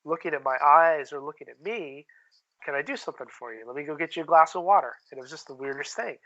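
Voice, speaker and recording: Guy with a sharp bright voice chatting casually in an interview, candid, muffled lo-fi recording. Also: a little high, expressive, quick pace. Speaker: interview guest, filmmaker. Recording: dull lo-fi call-quality audio.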